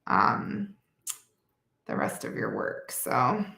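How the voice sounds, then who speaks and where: Congested, nasal woman, middle-aged, unhurried live craft-demo delivery, some vocal fry, roomy echo. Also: rumbling low, big pitch swings, sharp tone. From Michigan. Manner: chatty, with small laughs and self-corrections. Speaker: beading instructor.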